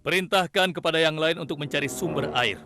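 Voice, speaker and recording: A stern prophet king played by a male actor rules in this children's cartoon. He speaks with deliberate authority in a fairly high male register. Music sits loudly behind him. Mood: displeased. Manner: authoritative, deliberate, given to pondering hums.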